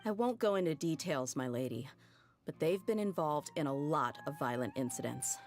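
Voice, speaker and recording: An actress playing a tough, blunt mercenary leader in a game cutscene, frank and guarded with casual slang. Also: female voice, low voice, expressive, normal speed, darkish tone, faint music behind.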